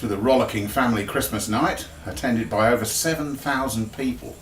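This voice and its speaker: Low-voiced British man reading news, roomy sound. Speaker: local news reader. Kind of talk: scripted news bulletin reading. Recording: roomy sound with faint background noise.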